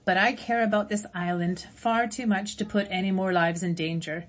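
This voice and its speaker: Woman voicing a calm, gentle robot. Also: mid-range voice, natural voice, low-quality recording. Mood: earnest. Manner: measured, earnest, plainly spoken. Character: gentle wounded female robot, calm and caring. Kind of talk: audiobook character reading.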